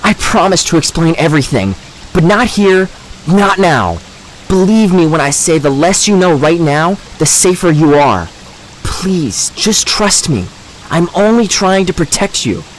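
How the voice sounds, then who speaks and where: A man's voice: fairly high pitch, big pitch swings, measured pace, a little hiss or hum, rough recording. A man playing a serious, protective young man with an earnest, guarded manner in a fantasy audio drama. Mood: earnest, insistent.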